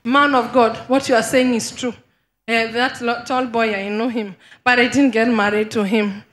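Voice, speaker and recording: A woman's voice: fairly high pitch, moderate pace, reverberant. A young woman from Kenya, a congregant who talks emotionally and eagerly confirms what the prophet says. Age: young adult. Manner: emotional, excitable, laughing confirmations.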